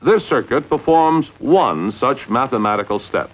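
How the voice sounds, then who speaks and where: Dull vintage film recording, expressive male narrator. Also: medium pitch, measured pace. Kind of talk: scripted instructional narration, 1950s training film. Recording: dull, lo-fi 1950s film soundtrack.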